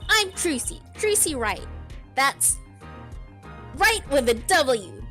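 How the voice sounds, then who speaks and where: A woman's voice: sits high, big pitch swings, slow pace, bright, forceful tone, soft background music, exaggerated voice. A woman voicing a perky, pushy teenage girl from a courtroom game in a live fan dub. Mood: eager, coaxing.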